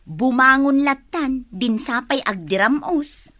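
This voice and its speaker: Managuyaw a tsismosa, nangato ti timekna. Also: female voice, high-pitched, expressive, slow, fairly bright tone, dry recording, exaggerated voice. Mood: catty. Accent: Ilocano. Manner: teasing, exclamatory. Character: catty gossiping village woman, mocking and amused. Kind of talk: Ilocano radio drama acting.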